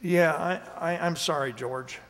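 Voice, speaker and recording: A man's voice: on the high side, a little slow, a bit bright. A man playing a meek, hesitant security guard in a radio drama, stammering and folksy as he speaks. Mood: rueful, confiding. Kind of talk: radio drama acting and narration. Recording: reverberant hall-like amateur recording.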